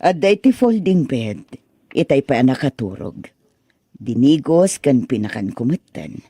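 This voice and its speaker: A woman playing a gentle, concerned older aunt in a radio drama, consoling and softly chiding. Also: extremely low pitch, sing-song, measured pace, husky, dry recording, studio quality, full character voice. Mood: gently reproachful. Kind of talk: Filipino radio drama acting.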